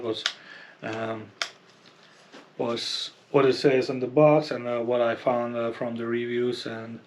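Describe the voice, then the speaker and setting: A man presents a casual, unscripted product review at a slow pace and a middling pitch. He explains things haltingly, often checking that the listener follows. Some background noise sits behind his voice. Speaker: fishing channel presenter reviewing gear.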